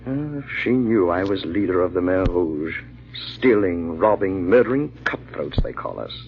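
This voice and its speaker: An actor playing a ruthless, smug bandit leader and husband in an old-time radio drama, mocking and commanding. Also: male voice, low-pitched, animated, normal speed, faint music behind. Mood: scornful, dismissive. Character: ruthless, smug husband secretly leading a murderous bandit gang. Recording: muffled, noisy vintage radio recording.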